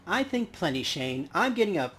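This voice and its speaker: A man's voice: high-pitched, very animated, moderate pace, bright, forceful tone, heavily acted. Man reading as a jaded, tough elf barmaid. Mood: defiant. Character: tough, jaded young elf woman bartender. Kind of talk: video game dialogue read aloud.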